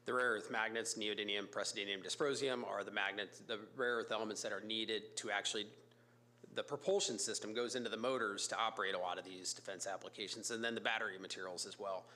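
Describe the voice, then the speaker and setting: A man with a raspy voice from a cold, a company executive making a casual, filler-heavy pitch to a city council. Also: fairly even intonation, sharp tone. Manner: informal, fillers and restarts, brisk business pitch. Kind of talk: public comment at a council meeting. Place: council chamber.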